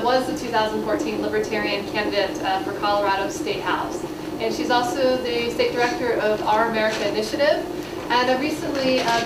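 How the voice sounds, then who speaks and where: A woman hosting an event, thanking one speaker and introducing the next, with a halting delivery and many fillers. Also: mid-range voice. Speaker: event host. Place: conference room. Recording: far echoey mic with loud noise.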